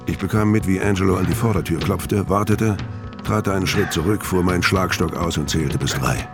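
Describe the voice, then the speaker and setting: Low-voiced hard-boiled criminal narrator. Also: male voice, brisk. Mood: tense, grim. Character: hard-boiled, world-weary male career criminal narrating his story. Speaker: first-person narrator. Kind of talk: noir audio drama narration. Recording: music bed under voice.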